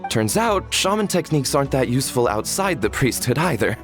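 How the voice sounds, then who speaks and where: A man's voice: mid-range voice, big pitch swings, brisk, fairly bright tone. Nervous, deferential villager man. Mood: humble, explanatory. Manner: apologetic, respectful. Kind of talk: English video game voice acting. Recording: faint background music under the voice.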